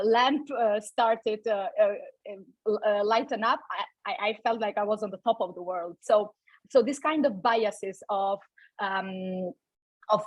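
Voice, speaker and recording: Young woman, slightly husky voice, dry close sound, halting delivery with many fillers. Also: medium pitch, no room sound, dull audio. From Albania. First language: Albanian. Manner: many fillers and repeated restarts. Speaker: invited speaker. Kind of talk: personal talk at a company event.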